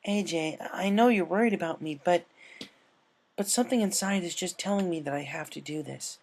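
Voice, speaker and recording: A man's voice: high-pitched, normal speed, darkish tone, a little hiss or hum, voice pushed up. A man voicing a brash, headstrong young cartoon pegasus mare. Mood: earnest.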